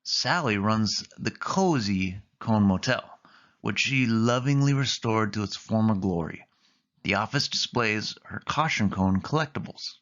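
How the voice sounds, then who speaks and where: Muffled lo-fi audio, man, lowish voice, children's storybook narration, read-along style. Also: unhurried, a bit bright. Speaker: storybook reader. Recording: dull, muffled lo-fi recording.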